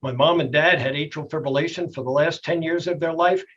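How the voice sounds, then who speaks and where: A middle-aged or older man with a slightly husky voice, a doctor explaining things in a plainspoken, folksy way in a TV health interview. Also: on the high side, steady tone, a little fast, a bit bright, lo-fi sound, a little hiss or hum. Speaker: doctor, expert guest.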